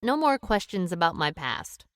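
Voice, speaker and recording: An actress playing a proud, secretive businesswoman who speaks briskly and tartly in a radio play. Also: on the low side, big pitch swings, a bit bright, no room sound, studio quality. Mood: defensive. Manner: brisk, tart and defensive. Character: sharp, proud woman business owner, secretive. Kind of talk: radio drama acting.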